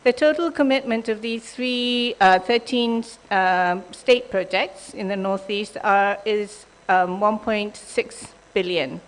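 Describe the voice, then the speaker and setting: A woman from Japan, a program leader, giving a measured conference panel presentation with frequent pauses and restarts. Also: medium pitch, poor audio. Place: conference hall.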